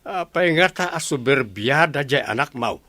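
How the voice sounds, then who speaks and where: A man's voice: mid-range voice, animated, moderate pace, sharp tone, no room sound. A man acting an inquisitive, gossipy villager in a radio drama.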